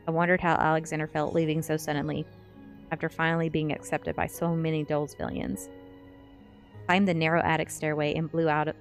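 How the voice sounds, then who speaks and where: A woman reading a first-person story aloud in a measured ASMR narration style. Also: low voice. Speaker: streamer reading a novel aloud.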